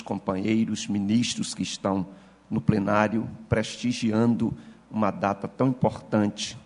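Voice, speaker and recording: A man in his fifties, a pastor, giving a formal and ceremonious address at a church session that rises into fervent preaching, with a husky voice. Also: on the low side, darkish tone, long reverb tail. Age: 50s.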